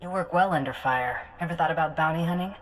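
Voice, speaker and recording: Woman doing a deadpan smartass merc for a game, low and fast talking. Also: close to an everyday voice, low-quality recording. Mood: dry, wry. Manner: sardonic, deadpan. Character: wry, cocky female Cathar bounty hunter. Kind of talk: video game voice acting.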